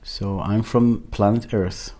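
Earnest man from Israel, low warm voice. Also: steady tone, slow pace. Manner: earnest, searching for words, many fillers and restarts. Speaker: workshop facilitator, guest. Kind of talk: interview conversation.

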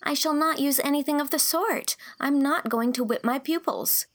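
A woman's voice: high voice, normal speed, pitched above the performer's own voice, lightly acted. A woman voicing an idealistic young schoolteacher who speaks earnestly and indignantly.

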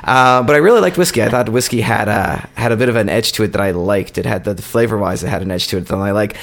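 A man, co-hosting a podcast, telling a long, halting story in casual chat. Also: lowish voice, fairly bright tone. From born in Poland, raised in Canada. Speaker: podcast co-host.

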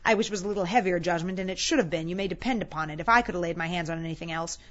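A woman's voice: fairly low pitch, rapid-fire, a bit bright, muffled recording, lightly acted. A woman voicing a callous female scavenger in a storybook, blunt, scornful and boastful as she haggles.

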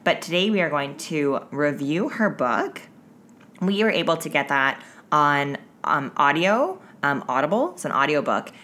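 A woman with a clearly low-pitched voice co-hosts a podcast. She talks in a loose, conversational style, full of playful teasing and banter. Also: big pitch swings, measured pace, a bit bright. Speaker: podcast co-host. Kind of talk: casual chat.

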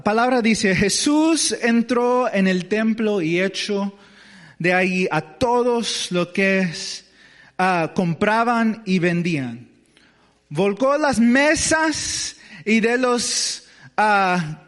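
Slow halting preacher in a big reverberant hall. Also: male voice, sits high, a bit bright. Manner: halting, searching for words in a second language. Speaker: pastor. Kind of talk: sermon.

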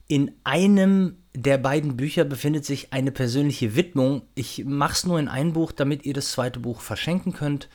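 A man hosting a podcast, making a casual unscripted announcement in an informal, chatty, somewhat hesitant and rambling way. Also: on the high side. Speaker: podcast host.

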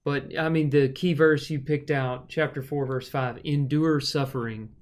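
A man's voice: mid-range voice, steady tone, a little slow. A man preacher co-hosting a casual Bible study podcast, thinking aloud with fillers and restarts.

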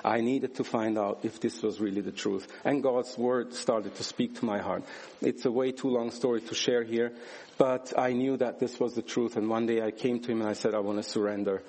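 A man's voice: medium pitch, fairly even intonation, moderate pace, a little mellow, rough recording. A man from Switzerland giving a church sermon, earnest and emphatic, with rhetorical questions and a personal testimony. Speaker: guest preacher.

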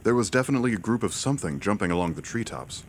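Audiobook lead: an uneasy, low-voiced man out of luck, read by a male narrator. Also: lively intonation, very fast, a little hiss or hum, close to an everyday voice. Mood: nervous. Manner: anxious, subdued. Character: nervous, down-on-his-luck male Imperial builder's agent.

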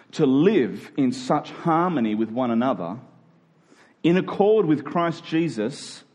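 A male preacher with a fairly high voice delivers a sermon to his congregation. He speaks emphatically, posing rhetorical questions and repeating key phrases to drive them home. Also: a little mellow.

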